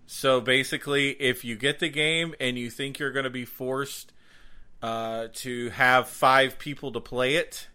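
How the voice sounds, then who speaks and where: A man hosts a casual gaming podcast in a sharp, bright voice at an average pitch. His talk is slangy and excitable, and he doubles up words to stress them. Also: measured pace. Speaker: podcast host and game streamer.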